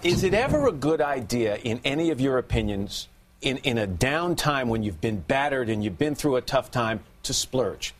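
A man's voice: medium pitch, big pitch swings, moderate pace, slight background noise. Middle-aged guy hosting a morning show panel, bouncy up and down voice. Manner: upbeat questioning. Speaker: TV host. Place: TV studio set.

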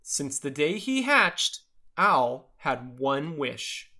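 Bright, animated children's storyteller. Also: mid-range voice, very slow. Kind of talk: expressive children's picture-book read-aloud.

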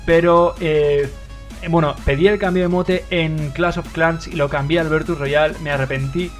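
A man's voice: on the high side, normal speed, soft background music. A young man from Madrid with a Spain Spanish accent, hosting a livestream and commentating a mobile game match with lots of fillers. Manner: excitable play-by-play, many fillers. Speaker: livestream host and caster.

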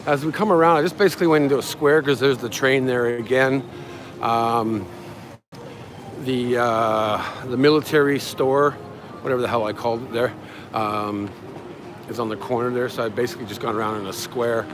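A man's voice: mid-range voice, steady tone, normal speed. A man giving an offhand, rambling walking-tour commentary as a travel vlogger, talking casually as he goes. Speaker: live-streaming travel vlogger and guide. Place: busy outdoor market street. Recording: outdoor street with crowd and traffic. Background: street crowd, passing vehicles.

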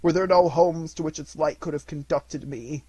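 A man voicing the anguished ghost of a dead miserly businessman in a storybook, lamenting solemnly and slowly, from hushed to loud. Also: a little high, steady tone, slightly rough, no room sound, pitched above the performer's own voice, rough recording. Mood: remorseful.

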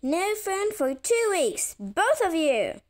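A woman voicing a stern mother who firmly scolds her squabbling girls in an animated roleplay story. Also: very high-pitched, slow pace, heavily acted. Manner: firm, reprimanding.

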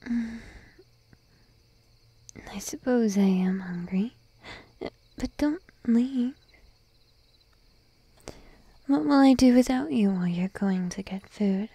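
Slow, breathy shy sheep-girl girlfriend, acted by a woman. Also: on the high side, dry recording, heavily acted. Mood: pouty, needy. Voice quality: soft, breathy whisper. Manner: timid, coaxing, lingering pauses. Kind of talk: whispered ASMR roleplay. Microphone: close microphone, dry.

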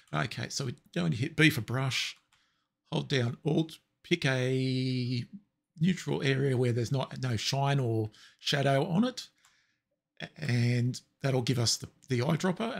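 A man with a raspy voice explaining software steps in a relaxed, step-by-step tutorial. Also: mid-range voice. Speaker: tutorial presenter. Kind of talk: screen-recorded software tutorial.

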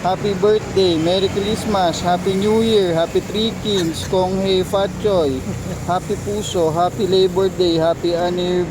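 Babaeng Pilipino, sobrang maingay na recording. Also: female voice, on the low side, steady tone, a little slow. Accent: Filipino. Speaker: friend in the vlog. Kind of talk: casual chat. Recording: very noisy outdoor recording with vehicle noise.

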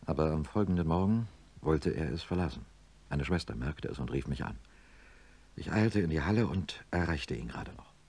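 A deep, low voice belongs to this middle-aged surgeon in a radio play. He is blunt and measured, an old friend laying out hard facts soberly and insistently. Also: animated, darkish tone, slightly rough, heavily acted. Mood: subdued, grave. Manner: measured, matter-of-fact, insistent. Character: sober male neurosurgeon, blunt old friend, narrating his story. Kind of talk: German radio play acting and narration.

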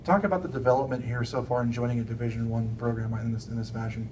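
A man's voice: mid-range voice, fairly even intonation, quick pace, darkish tone. A man working as a sports reporter, putting questions at a press conference. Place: indoor press conference room. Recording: distant mic, faint room noise.

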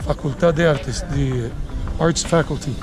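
A man in his late forties hosts a casual walking tour, speaking to his viewers in a slightly high voice. He rambles and thinks aloud as he goes. He is outdoors on a city street with a handheld camera, and music is clearly heard behind him. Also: normal speed. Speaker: travel vlogger and walking tour host. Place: outdoors, walking a leafy urban residential street.

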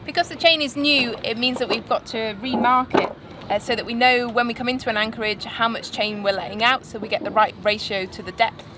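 A woman's voice: fairly high pitch, a little fast. Woman explaining chain markers outdoors. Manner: matter-of-fact, practical. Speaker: sailing vlogger. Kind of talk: casual explaining to camera. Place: outdoors on a marina dock. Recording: outdoor noise behind.

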